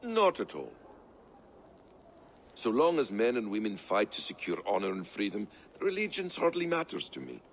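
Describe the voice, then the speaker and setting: An actor playing a calm, cunning, secretive assassin mentor in a video game, speaking deliberately. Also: male voice, fairly low pitch, a little hiss or hum, exaggerated voice. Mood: reassuring. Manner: measured, smooth-worded, deliberate. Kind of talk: dramatic video game acting.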